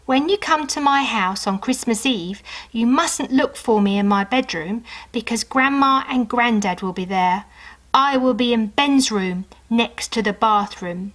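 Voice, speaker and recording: Storybook little girl, played by a woman narrator: earnest, sweet, careful and deliberate, slightly raised voice. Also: fairly high pitch, fairly bright tone. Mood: earnest, hopeful. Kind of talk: children's storybook read-aloud.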